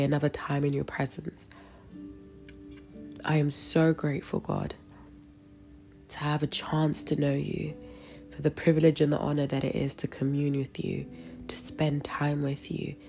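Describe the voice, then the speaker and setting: Woman, stuffy nose from a cold, low gravelly voice, devotional talk, faint music behind. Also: dark tone. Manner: conversational, with frequent fillers and restarts. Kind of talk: devotional talk with a spoken prayer.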